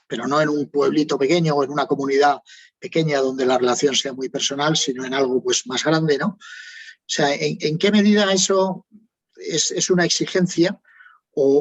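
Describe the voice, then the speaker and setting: A man's voice: on the high side, husky, no echo, poor audio. A man on a video call, asking a long, winding question full of restarts and tag questions. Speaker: audience member asking a question.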